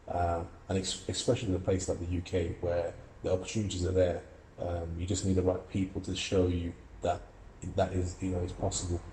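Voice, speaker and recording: A man's voice: deep voice, little pitch movement, fairly quick, roomy sound, very noisy. A young man from Russia, an amateur boxer and student, giving earnest, reflective interview answers with many fillers and restarts. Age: young adult.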